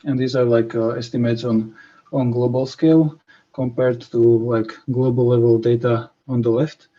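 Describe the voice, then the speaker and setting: A man from Slovakia, giving a scientific presentation over a video call, with many pauses and fillers. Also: medium pitch, level tone, dark tone. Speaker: conference presenter. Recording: muffled video call audio.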